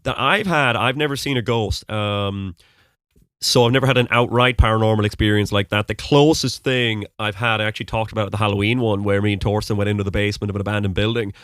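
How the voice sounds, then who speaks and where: A man's voice: fairly low pitch, fairly even intonation, fast talker, fairly bright tone, clean tone, no echo, clean studio sound. Irish man with a neutral, American-tinged accent. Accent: Irish with American-sounding notes. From Ireland, Mullingar, Westmeath. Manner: rambling, many ums and restarts. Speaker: podcast host being interviewed. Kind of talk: casual conversational interview.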